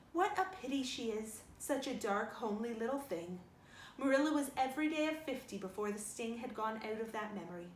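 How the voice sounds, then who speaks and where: A woman reads a story aloud as a library storyteller. Her voice is on the high side, with richly varied intonation and expressive narration. The room is audibly echoey. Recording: roomy sound with faint noise.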